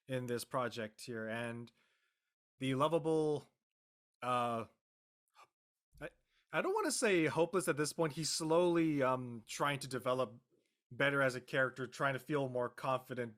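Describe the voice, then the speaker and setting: A man with a medium-pitched voice answers interview questions as an actor. He talks off the cuff, haltingly, often searching for the right word. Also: a bit bright. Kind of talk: unscripted interview talk.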